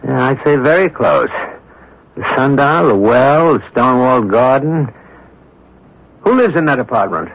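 An actor playing an observant, composed amateur sleuth in a radio mystery drama, reasoning aloud in a deliberate, inquisitive way. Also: male voice, medium pitch, sing-song. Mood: musing, curious. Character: observant, composed amateur sleuth, a man puzzling over clues. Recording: dull lo-fi old radio broadcast recording.

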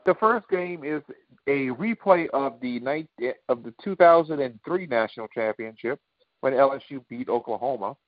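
A man's voice: mid-range voice, animated, normal speed, dry recording. A man on a talk show panel, debating casually in an insistent, argumentative way. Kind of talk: casual sports and current affairs debate. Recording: dry, dull lo-fi audio.

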